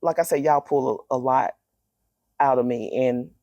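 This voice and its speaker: A middle-aged woman with a deep, raspy voice, telling personal stories candidly in a casual interview as a guest. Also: normal speed, dark tone, no room sound, muffled recording.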